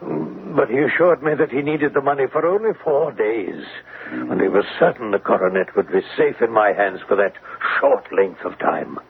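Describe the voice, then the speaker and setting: A distraught old banker, tinny radio. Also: male voice, medium pitch, expressive, vocal fry. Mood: desperate, earnest. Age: older. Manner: agitated, halting, confessional. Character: distraught, remorseful elderly English banker and father. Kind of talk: classic radio drama acting. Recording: tinny, band-limited old radio, faint hiss.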